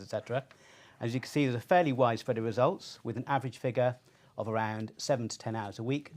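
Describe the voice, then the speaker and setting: A middle-aged man presenting informally on a webinar, speaking haltingly with pauses. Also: fairly low pitch. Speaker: panelist presenting research.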